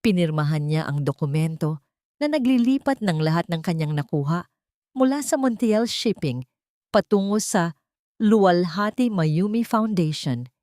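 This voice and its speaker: A woman giving dramatic scripted narration of a sentimental story, with solemn, deliberate phrasing. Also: on the low side, a little mellow, dry recording, clean studio sound. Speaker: audiobook narrator.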